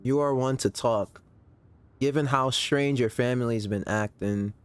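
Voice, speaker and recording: A man streaming a game playthrough, casually thinking out loud to his chat in slangy, expressive talk. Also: medium pitch, measured pace, soft background music. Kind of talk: casual playthrough reaction commentary.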